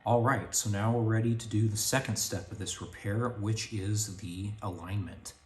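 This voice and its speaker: A man's voice: lowish voice, steady tone, moderate pace, darkish tone, a little hiss or hum. A man hosting a hands-on radio repair tutorial, plainly explaining each step while he works in a garage workshop. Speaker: radio restoration host.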